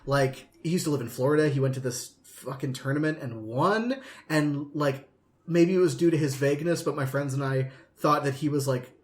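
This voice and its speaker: A man's voice: fairly high pitch, normal speed. A man co-hosting a casual podcast chat, telling anecdotes conversationally and swearing casually. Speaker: podcast co-host.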